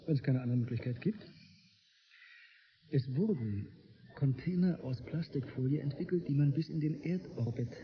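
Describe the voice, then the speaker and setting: An actor playing a bookish, idealistic male historian in a radio play, with a measured, gently condescending lecturing manner. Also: fairly high pitch, natural voice. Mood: explaining, amused. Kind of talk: German radio play dialogue. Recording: muffled, noisy old radio drama recording.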